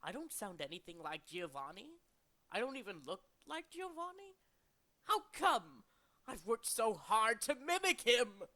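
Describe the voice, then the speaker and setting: A theatrical game villain, a smug and cowardly blusterer. His bright, forceful voice swoops up and down as he boasts and frets in hammy fashion. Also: very high voice, very animated, measured pace, voice pushed up, full character voice. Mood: indignant. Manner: hammy, boastful, easily flustered. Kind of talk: video game villain character acting.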